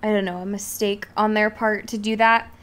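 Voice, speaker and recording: A woman's voice: mid-range voice, flat delivery, normal speed, smooth. A young woman co-hosting a podcast, talking casually and playfully about films in an informal, loosely hedged way. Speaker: podcast co-host. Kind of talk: casual film discussion chat.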